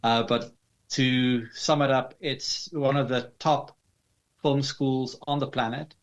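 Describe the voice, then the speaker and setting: A man with a South African accent answering interview questions in a measured, explanatory way with formal wording. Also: medium pitch, steady tone. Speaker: interview guest, digital artist.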